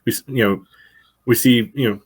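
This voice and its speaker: A man co-hosting a podcast, analyzing soccer casually and haltingly, with frequent verbal padding. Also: medium pitch, steady tone, husky. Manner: halting, peppered with "you know". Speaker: podcast co-host.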